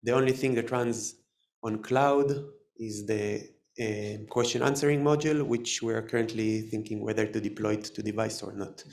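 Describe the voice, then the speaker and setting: A man with an Israeli accent giving a live technical talk, halting and full of fillers and restarts. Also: on the low side, steady tone, creaky, darkish tone. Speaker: tech presenter. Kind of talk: live conference talk with demo.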